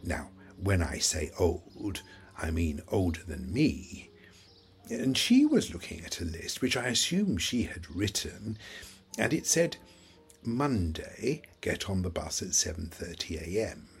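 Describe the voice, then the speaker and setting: Slow, rambling, forgetful old man. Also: sits low, big pitch swings, gravelly, faint music behind, heavily acted. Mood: wry, musing. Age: older. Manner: rambling, halting, self-questioning. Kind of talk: audio play monologue.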